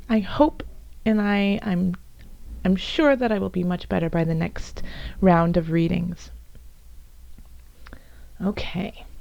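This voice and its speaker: A woman with a raspy, hoarse voice from bronchitis, softly reading tarot cards for her viewers, at times almost whispering. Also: mid-range voice, dry recording, highs cut off. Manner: soft, at times whispery, with fillers and restarts. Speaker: tarot reader.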